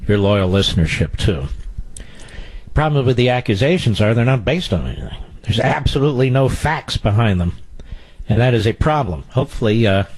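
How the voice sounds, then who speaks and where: A male radio talk show host delivering a combative, sarcastic political monologue and taking calls. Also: fairly low pitch.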